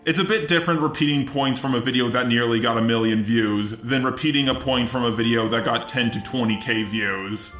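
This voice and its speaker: A man's voice: mid-range voice, fairly even intonation, a little fast, hardly any pauses, fairly bright tone. A man delivering argumentative scripted commentary in a sarcastic, indignant manner. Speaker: commentary video host. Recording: roomy echo with music underneath. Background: music.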